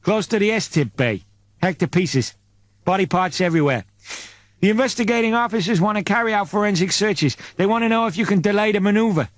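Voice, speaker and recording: Man acting a high-voiced deferential junior spaceship officer. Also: a little fast, no echo, natural voice. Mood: anxious, deferential. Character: earnest male junior spaceship comms officer. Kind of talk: audiobook character voice.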